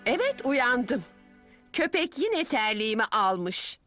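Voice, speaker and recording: A woman's voice: a little high, sing-song, slow, fairly bright tone, faint music behind, heavily acted. A woman voicing a grumpy, complaining grandmother in a children's cartoon dub. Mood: suspicious, annoyed. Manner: complaining, exasperated. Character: grumpy grandmother fed up with the dog.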